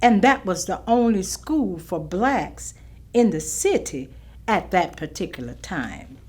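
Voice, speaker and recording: An actress playing a dignified elderly Southern woman, giving her recollections deliberately in a staged reading of oral history. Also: mid-range voice, big pitch swings, a little mellow, slightly rough, heavily acted. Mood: reflective, resentful. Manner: deliberate, measured.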